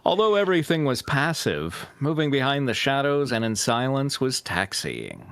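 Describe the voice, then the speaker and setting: A man's voice: medium pitch, big pitch swings, moderate pace, fairly bright tone, smooth, dry recording. A man narrating a story for a comic group reading, with casual joking asides.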